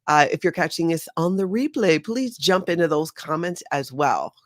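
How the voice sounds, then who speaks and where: A woman's voice: sits low, animated, normal speed, dry recording. A middle-aged woman from Hawaii hosting a casual live stream from her home studio, bubbly and chatty, often rambling off topic. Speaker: host.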